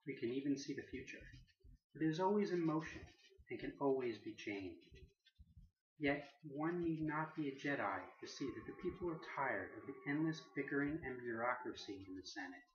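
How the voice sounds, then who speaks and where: A solemn Jedi master , acted out by a man, delivers a formal political address for a temple council. The delivery is calm, measured and oratorical, in a medium-pitched male voice. The room echoes noticeably and the sound is a little dull. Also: close to an everyday voice. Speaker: speaker giving a political address in character. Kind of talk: formal scripted address, parody of a political response.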